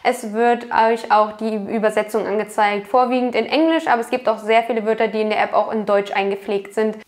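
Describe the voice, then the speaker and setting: A young woman talking to camera from a small room at home, explaining language study tips in a clear, explanatory way with frequent fillers. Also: a little high. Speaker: language learning YouTuber.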